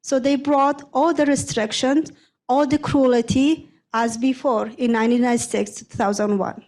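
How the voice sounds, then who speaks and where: Woman from Afghanistan, halting and earnest. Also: high voice, steady tone, darkish tone, poor audio. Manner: halting, many restarts and repeated words. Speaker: panel guest, former prosecutor. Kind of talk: panel discussion answer.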